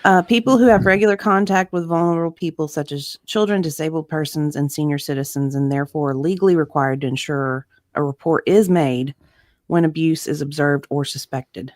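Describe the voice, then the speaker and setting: Woman, low register, dry close sound, casual co-host who reads aloud. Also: steady tone, brisk, darkish tone, dry recording. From Southern United States. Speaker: online show co-host reading a deposition. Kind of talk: casual chat with read-aloud passages.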